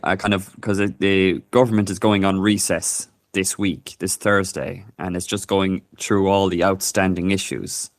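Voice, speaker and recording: Hesitant low-voiced man, dry sound. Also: no room sound. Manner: hesitant, many fillers and restarts. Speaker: co-host. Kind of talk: casual live stream chat, reading a news report aloud.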